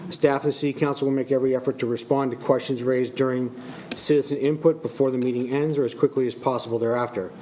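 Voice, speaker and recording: A man's voice: medium pitch, fairly even intonation, fast, dark tone, a little hiss or hum. A man, the mayor, chairing a city council meeting and reading out agenda items and speaking rules in a businesslike way.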